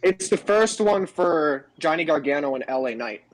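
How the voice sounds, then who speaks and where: A man's voice: a little high, animated, normal speed, poor audio. A man co-hosting a podcast, chatting casually about wrestling. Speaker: podcast co-host. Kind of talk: casual wrestling review chat.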